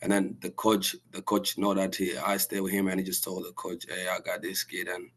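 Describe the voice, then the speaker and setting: A young man from Sudan, a college basketball player, answering interview questions softly, with halting restarts and fillers. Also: low voice, completely flat delivery. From South Sudan. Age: young adult. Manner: soft-spoken, halting, with many restarts and fillers.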